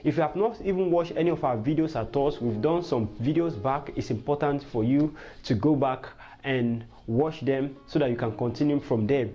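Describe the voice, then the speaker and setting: A man's voice: mid-range voice, normal speed, hardly any pauses, warm tone. A man teaching a language lesson, patiently repeating words and checking whether viewers follow. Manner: patient, repetitive teaching with quiz questions. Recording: dull lo-fi sound with faint guitar music.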